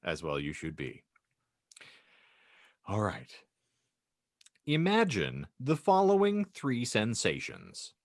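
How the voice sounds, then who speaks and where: Animated low-voiced man hosting a podcast. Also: slow pace, pauses often, no room sound, clean studio sound. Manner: mock-grandiose, wordy phrasing. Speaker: podcast host. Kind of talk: casual comedic podcast hosting.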